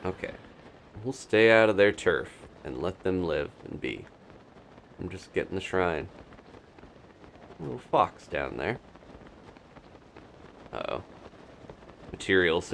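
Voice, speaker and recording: A man's voice: low voice, sing-song, a little slow, creaky, long pauses, a bit bright, a little hiss or hum. A man giving casual gameplay commentary as a streamer, rambling as he thinks aloud. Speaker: game streamer.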